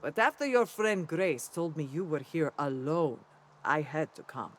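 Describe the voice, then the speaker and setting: Slow, gallant knight, higher-pitched. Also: male voice, animated, a bit bright, a little hiss or hum. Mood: earnest, resolute. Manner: measured, formal, theatrical delivery. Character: noble armored knight, loyal, gallant, wryly self-deprecating. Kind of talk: scripted fantasy video game dialogue.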